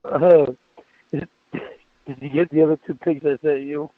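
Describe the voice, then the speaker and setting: A man's voice: fairly high pitch, steady tone, measured pace, dry recording. A man reading a chat exchange aloud and adding his own asides, heard through narrow, tinny playback.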